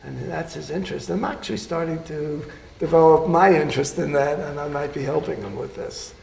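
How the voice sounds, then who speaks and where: An older man recounts his life in a halting, unhurried way, full of pauses and fillers. His voice is gravelly and a little high. He sounds as if he is in an echoey room. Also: fairly even intonation, darkish tone, slight background noise. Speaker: surgeon interviewee. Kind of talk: oral history interview.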